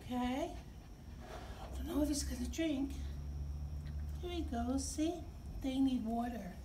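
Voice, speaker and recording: A middle-aged woman hosting story time, speaking to young children in simple, expressive phrases. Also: high-pitched, slow. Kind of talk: read-aloud and talk for young children. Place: indoor library children's room. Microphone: camera a few feet away. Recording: distant camera mic, clear background noise.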